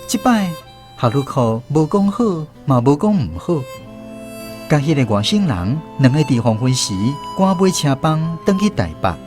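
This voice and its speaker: Animated high-voiced Taiwanese male storyteller. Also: moderate pace, music in the background. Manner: expressive storyteller. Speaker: narrator. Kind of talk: first-person radio drama storytelling.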